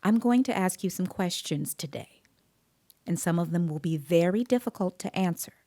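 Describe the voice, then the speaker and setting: A woman playing a composed, observant child interviewer, narrating an audio drama in a measured, deliberate way. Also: on the low side, lively intonation, warm tone, lightly acted. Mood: gentle, professional. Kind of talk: scripted dramatic first-person narration. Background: musical scoring.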